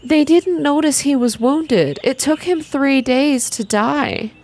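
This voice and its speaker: A woman voicing an upset young woman in a story game, drawn-out and pained. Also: high voice, a little mellow, voice pushed up.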